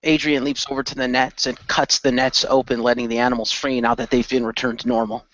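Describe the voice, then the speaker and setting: A man tells improvised adventure action in the present tense. His pitch is average for a man. The recording is dry and somewhat dull, like a homemade radio play. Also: flat delivery, a little fast, a bit bright, no room sound. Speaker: narrator and player in amateur radio play.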